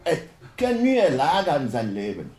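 A man speaks haltingly on a panel, often restarting mid-word in a language that is not his first. His voice is dark and mellow yet swings widely in pitch. The hall around him adds a roomy echo. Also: huge pitch swings, faint music behind. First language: not German. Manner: halting, broken German with many restarts. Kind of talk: panel discussion talk. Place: university lecture hall.

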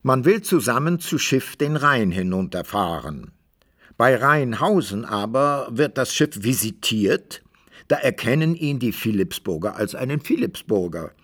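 A man narrating a literary radio play as a storyteller, in measured, old-fashioned wording. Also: medium pitch, big pitch swings, fairly bright tone.

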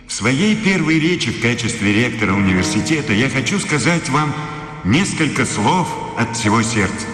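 A man's voice: mid-range voice, very animated, normal speed, close to an everyday voice. An actor playing a stern, authoritarian male professor and rector in a radio play, with a formal, declamatory delivery. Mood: fervent, solemn. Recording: long hall reverb, music underneath.

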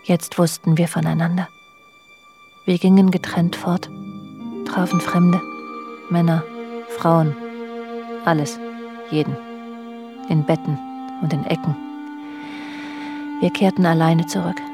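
A confiding, worldly wife, lowish voice. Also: female voice, flat delivery, slow pace, pauses often. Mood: wistful, reflective. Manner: literary, confiding, addressing her husband directly. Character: worldly wife recalling a restless, jealous marriage. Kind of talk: German radio drama, intimate narration and dialogue. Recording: music behind the voice. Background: music.

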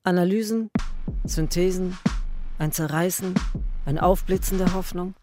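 A woman's voice: fairly low pitch, unhurried, music in the background, natural voice. An actress playing an anguished woman waiting for a missing man, in a literary radio drama monologue of stark, fragmented, incantatory phrases. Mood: brooding.

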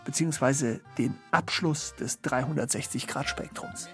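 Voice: mid-range voice, normal speed, lightly acted. A performer playing a dry, aloof, ironic commentator on society in a scripted literary radio play. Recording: music behind the voice.

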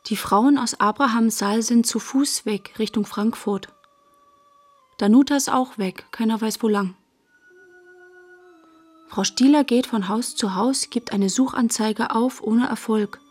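An actress playing a frank, unsentimental young woman recalling her post-war girlhood in a German radio play, with a slightly husky voice. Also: a little high, measured pace. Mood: sober, matter-of-fact.

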